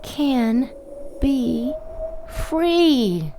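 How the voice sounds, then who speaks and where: A woman's voice: high-pitched, big pitch swings, drawn-out words, mellow, heavily acted. A woman playing an intense, dramatic female character in an audio drama. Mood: insistent. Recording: some background noise and ambience.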